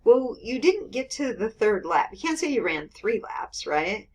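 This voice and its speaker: A woman's voice: fairly high pitch, sing-song, a little slow, darkish tone, husky. A woman in her fifties teaching math in a casual screen-recorded lecture, explaining with everyday examples and checking in with "okay" and "right". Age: 50s.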